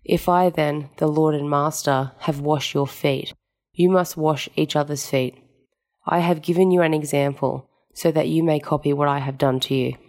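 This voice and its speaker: Low, creaky-voiced woman reading scripture. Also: steady tone, normal speed, a little mellow. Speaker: scripture reader. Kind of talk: solemn scripted Gospel reading.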